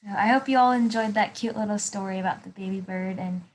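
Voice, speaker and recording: A woman volunteer narrating a children's storybook in simple, deliberate phrases. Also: medium pitch, poor audio.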